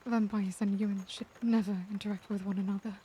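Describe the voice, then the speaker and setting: A mellow, dark-toned vampire lady, played by a woman, caring yet tormented by remorse. She speaks softly and breathily in formal, old-fashioned phrases, sometimes dropping to a whisper. It is an intimate ASMR roleplay monologue with faint noise behind it. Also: medium pitch, little pitch movement, fast, natural voice. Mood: regretful, resigned.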